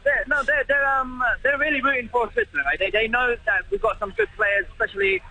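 A British man managing a bar, talking by phone in a live news interview, excited and rambling with many restarts. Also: very high-pitched, fast talker, no echo. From Britain. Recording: phone line with noisy crowd behind. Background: crowded bar noise.

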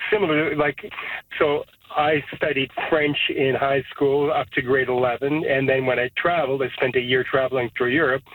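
A Canadian-born man whose first language is Serbo-Croatian, calling in to a radio show and talking thoughtfully with many restarts. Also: mid-range voice. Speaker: caller. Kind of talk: call-in radio chat. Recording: tinny phone line.

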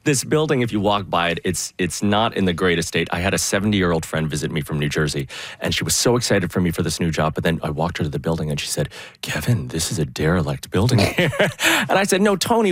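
A man with a Canadian accent and a low-pitched voice, recorded dry and close. He speaks as an artistic director answering interview questions with enthusiasm. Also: husky, dry recording.

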